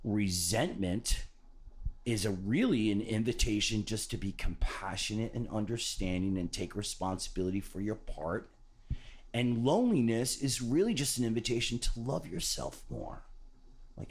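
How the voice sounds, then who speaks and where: A man's voice: on the low side, lively intonation, moderate pace. A man hosting a podcast, talking earnestly and casually about self-love, repeating key phrases and swearing now and then. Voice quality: called a voice for radio by others. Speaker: podcast host. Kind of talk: motivational podcast talk.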